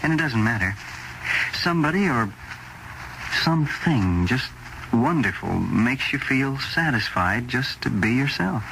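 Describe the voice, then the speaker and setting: A man acting in a radio suspense drama as a devoted friend and literary agent, speaking with earnest, deliberate emphasis. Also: medium pitch, expressive, gravelly. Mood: fervent. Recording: noisy old radio drama recording.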